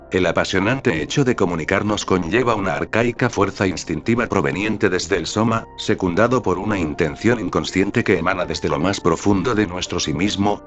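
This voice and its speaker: A man hosting his own channel, reading a first-person essay aloud with formal, deliberate, literary phrasing. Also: low-pitched. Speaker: channel host and author.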